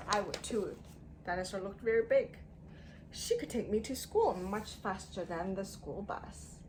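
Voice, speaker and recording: A woman teacher reading a story aloud to young children in an expressive way, using simple phrasing and putting questions to them. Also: mid-range voice, background noise. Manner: expressive, emphatic delivery.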